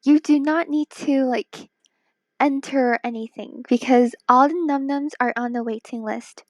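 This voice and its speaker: Tired, high-voiced woman streamer, slow talk. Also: fairly even intonation, a little mellow, no echo. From Hong Kong. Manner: playful and teasing, slipping into whispers. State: tired, voice worn from a ten-hour stream. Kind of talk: casual live-stream chat while drawing.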